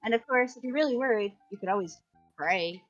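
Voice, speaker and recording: A woman's voice: a little high, a little fast, lo-fi sound, faint music behind, close to an everyday voice. A woman reading a bossy, teasing teenage schoolgirl in a visual novel, scolding and exasperated. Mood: teasing, reassuring.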